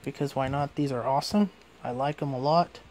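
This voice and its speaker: A man's voice: mid-range voice, a little fast, a little mellow, slight background noise. A man giving a casual, hands-on product review in an informal way, often repeating and rephrasing what he says. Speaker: gadget reviewer.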